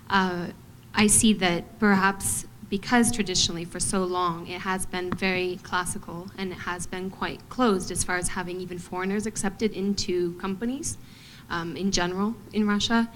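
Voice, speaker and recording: Thoughtful woman from Montana, measured. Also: fairly low pitch, a little hiss or hum, low-quality recording. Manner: thoughtful, measured, many fillers. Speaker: guest artist, ballet dancer. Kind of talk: panel discussion answers.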